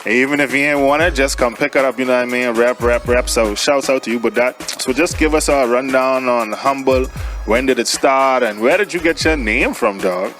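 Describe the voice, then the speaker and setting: A man hosting a casual interview, slangy and full of hype and shout-outs for his guest. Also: mid-range voice, normal speed. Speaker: radio show host interviewer. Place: studio. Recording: music playing behind the voice. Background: music.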